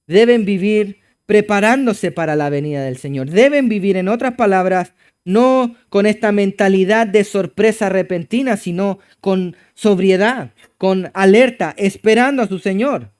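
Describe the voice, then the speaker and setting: High-voiced Chilean preacher, clear tone. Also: male voice, measured pace. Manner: emphatic preaching, swinging from exclamations to low hushed passages. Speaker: pastor. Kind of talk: sermon. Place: church.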